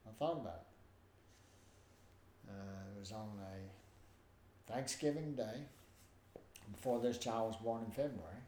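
An older man with a nasal voice, telling stories in an animated way as a guest in a conversation. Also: fairly low pitch, unhurried, pauses often, slight background noise. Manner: animated, acts out quoted voices. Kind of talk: storytelling in a conversation. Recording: roomy with faint ambience.

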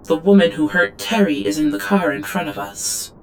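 A woman's voice: lowish voice, moderate pace, a little hiss or hum, lightly acted. An actress playing an obedient female android drone in an audio drama, reciting logs in a clipped, literal, detached way. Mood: detached, mechanical. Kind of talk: audio drama log narration.

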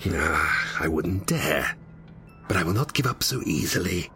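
Deep, brooding fallen demon. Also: male voice, lively intonation, a little slow, very noisy. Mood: sly, menacing. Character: Abaddon, brooding sly fallen demon of destruction. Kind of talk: dramatic audio drama acting.

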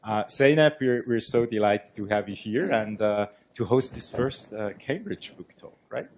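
A man's voice: lowish voice, lively intonation, normal speed, slight background noise. Hesitant man introducing a speaker. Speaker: event host.

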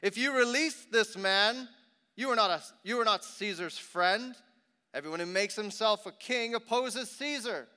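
A man playing an angry, clamoring mob of accusers in a scripture reading, with loud, drawn-out cries, in an echoing hall. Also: a little high, clear, resonant tone. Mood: accusing. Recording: long reverberant hall echo.

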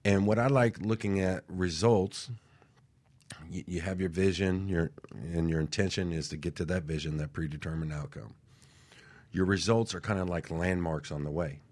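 Man, very low voice, talk-radio co-host, loose rambling delivery that keeps asking "right?". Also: normal speed, a little mellow. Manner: rambling, repeats words, tags lines with "right?". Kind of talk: motivational talk show chat.

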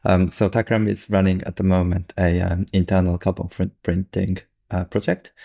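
A man's voice: low voice, little pitch movement, moderate pace, creaky, dark tone. A man hosting an interview, speaking hesitantly with many "um"s. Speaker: interview host. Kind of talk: casual interview.

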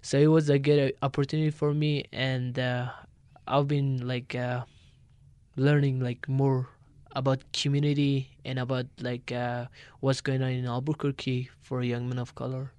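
Teenage man, English, halting, fillers, smooth clear voice, dry sound. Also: medium pitch, level tone, a little mellow, dry recording. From Afghanistan. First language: not English. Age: teens. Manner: halting, many fillers, simple phrasing. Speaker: youth organizer guest. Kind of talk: radio interview.

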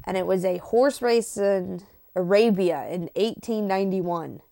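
A woman's voice: medium pitch, measured pace, vocal fry, dark tone, dry recording, studio quality. A woman co-hosting a podcast with a stuffy nose from a cold, teasing and blunt in casual chat. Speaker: podcast co-host.

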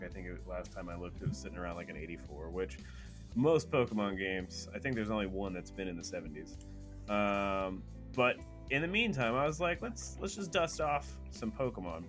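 A man co-hosting a podcast, chatting casually in an informal, slangy way. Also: low voice. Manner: informal, slangy, bro-style talk. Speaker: podcast co-host on a gaming show. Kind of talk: casual chat.

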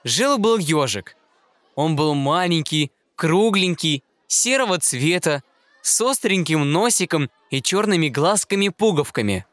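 A man narrates a children's fairy tale gently and expressively. He takes an unhurried pace, and his pitch moves up and down in a lively way. The recording is dry and intimate, with no room sound. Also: no echo, some chatter behind. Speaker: reader of children's fairy tales. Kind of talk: scripted storybook narration.

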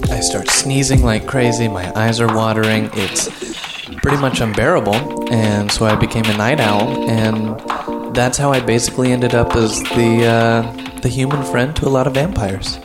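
A man's voice: medium pitch, steady tone, moderate pace, close to an everyday voice. A man playing a devoted, nocturnal human helper to vampires in improvised radio theater, conversational and mock-earnest. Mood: matter-of-fact. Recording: loud music under the voice.

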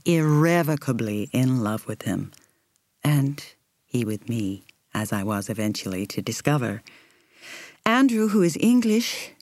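A woman reading an audiobook in the role of a reflective woman in her thirties recalling her romance, in a measured, intimate, expressive way. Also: a bass voice, dry recording, heavily acted. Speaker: audiobook reader. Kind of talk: scripted first-person narration.

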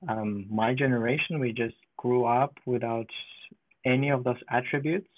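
A man from Ukraine, a guest in an interview, speaking in a thoughtful, measured way with frequent pauses. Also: mid-range voice, steady tone, no echo. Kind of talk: conversational interview. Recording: tinny phone-like line.

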